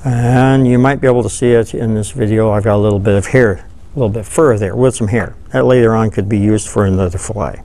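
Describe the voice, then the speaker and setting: An older man giving a relaxed, folksy fly-tying tutorial, thinking aloud as he works at a table in a studio. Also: on the low side, fast. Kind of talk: hands-on tutorial. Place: studio with dark backdrop, seated at table.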